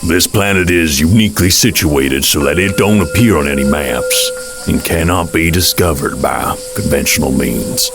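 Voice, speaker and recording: A gruff amphibious alien speaks in an extremely deep, creaky voice over music. He drawls in a folksy, sardonic way and takes his time, as in a science fiction audio drama. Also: normal speed. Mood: wry, explaining. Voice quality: gravelly.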